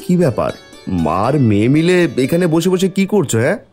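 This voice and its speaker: A man's voice: a little high, sing-song, brisk, a little mellow, soft background music. A man voicing a poor, mild-mannered village farmer and father in a cartoon fairy tale. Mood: amazed.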